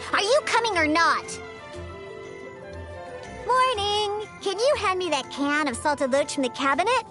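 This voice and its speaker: A very high, unhurried young cat-girl voice from a video game. She is proud and grumpy, turning defensive and stammery when flustered. Faint music plays behind her. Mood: huffy, bossy. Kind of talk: video game character voice lines.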